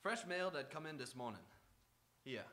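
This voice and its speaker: A man's voice: fairly high pitch, animated, normal speed, sharp tone. A man playing a folksy, talkative, evasive hotel clerk in a radio drama, rambling through his replies. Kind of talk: old-time radio drama acting.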